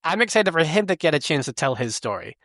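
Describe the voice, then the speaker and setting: A man's voice: on the high side, sing-song, fast, a bit bright, dry recording, studio quality. A man hosting a podcast, upbeat and curious as he asks his guest questions. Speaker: podcast host. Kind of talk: podcast hosting, scripted intro then casual chat.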